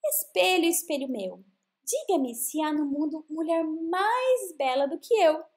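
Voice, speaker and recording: A woman's voice: high voice, expressive, a little slow, a little mellow. A woman voicing a vain, jealous, wicked fairy-tale queen with an imperious, incantatory way of questioning. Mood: vain, demanding.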